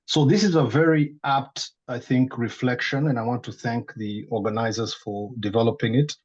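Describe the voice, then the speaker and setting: A man's voice: medium pitch, fairly even intonation, normal speed, slightly rough, lo-fi sound. A man from East Africa on a video call, earnestly sharing his thoughts point by point in a group discussion. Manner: earnest, appreciative, orderly points. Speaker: discussion participant.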